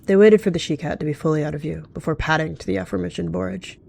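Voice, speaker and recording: A storyteller narrating a game roleplay. The voice sits at a middle pitch and has a noticeable creak. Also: fairly even intonation, rapid-fire, darkish tone.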